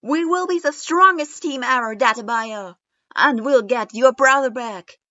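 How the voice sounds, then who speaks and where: A woman voicing a brash, hotheaded boy ninja who shouts excitedly. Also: high voice, measured pace, no echo, voice pushed up. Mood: shouting. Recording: dull lo-fi recording.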